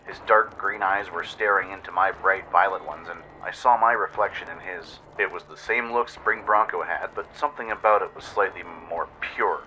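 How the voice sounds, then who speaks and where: A man's voice: deep voice, normal speed, hardly any pauses, sharp tone, a little hiss or hum, rough recording. A man narrating a scripted dramatic first-person story in radio-play style, with an intense, theatrical delivery.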